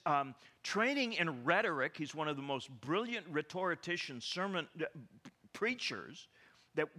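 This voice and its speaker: A man preaching a sermon, animated and full of stammering restarts and rhetorical questions to the congregation. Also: a little high. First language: English.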